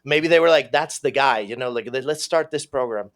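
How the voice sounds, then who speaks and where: A man in his thirties, raised in Venezuela, telling his life story as a podcast guest in a casual, profane, animated way. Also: medium pitch, fairly quick. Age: 30s.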